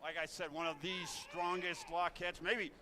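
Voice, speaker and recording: An American man giving earnest live wrestling color commentary, often halting and repeating his phrases. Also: on the high side, little pitch movement. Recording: loud music behind, live event. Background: loud music at a live wrestling event.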